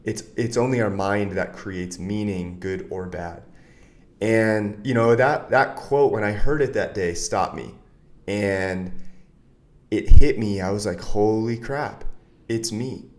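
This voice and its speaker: Earnest lowish-voiced man coach. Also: slow. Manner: earnest, candid, animated bursts. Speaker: coach for men. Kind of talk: interview guest storytelling.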